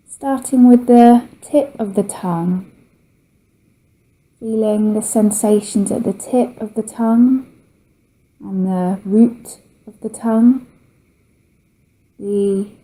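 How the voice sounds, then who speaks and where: Slow, very mellow woman guiding meditation. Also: mid-range voice, poor audio. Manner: soothing, gentle, unhurried with long pauses. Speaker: yoga nidra teacher. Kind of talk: guided relaxation meditation.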